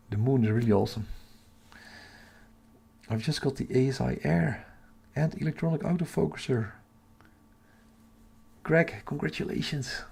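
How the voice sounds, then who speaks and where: Hushed, creaky-voiced man livestreaming. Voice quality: hushed, half-whispered. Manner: keeping voice low for sleeping household. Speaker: astronomy livestream host. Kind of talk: casual live chat with viewers.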